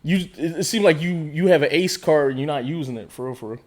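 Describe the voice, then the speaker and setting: A man's voice: fairly high pitch, quick pace, a little mellow. A man from the United States co-hosting a reaction show, rambling in loose casual chat with trailing thoughts. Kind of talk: casual group chat reacting to a music video.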